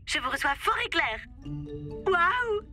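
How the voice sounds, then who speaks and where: A woman voicing an eager, expressive young female astronaut in a French cartoon dub. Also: extremely high pitch, slow, faint music behind, exaggerated voice. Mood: starstruck. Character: excited young female astronaut, starstruck sports fan.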